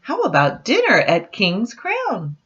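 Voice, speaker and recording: A woman's voice: medium pitch, wildly swooping pitch, takes it slowly, fairly bright tone, pitched above the performer's own voice, exaggerated voice. An older woman voicing a gallant father who plays a posh chauffeur in a picture book. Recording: dull, lo-fi home webcam sound.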